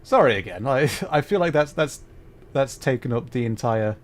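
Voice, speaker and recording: A man hosting a livestream, giving casual, halting gameplay commentary as he thinks aloud. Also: medium pitch, animated, soft background music. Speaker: livestream host playing a video game.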